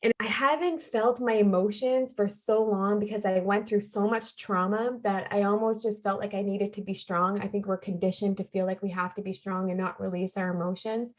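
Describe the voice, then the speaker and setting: A woman speaks as a podcast guest, recounting her life in a heartfelt, earnest way. She leans on repeated phrases to drive her points home, in a voice of middling pitch. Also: steady tone, normal speed, few pauses. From Canada. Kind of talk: personal storytelling in a conversation.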